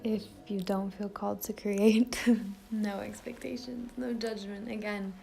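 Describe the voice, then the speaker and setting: A woman leading an impromptu guided meditation in a soft, gentle voice that often trails toward a whisper. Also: medium pitch, little pitch movement, unhurried, gravelly, faint music behind. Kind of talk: impromptu guided meditation with casual rambling introduction.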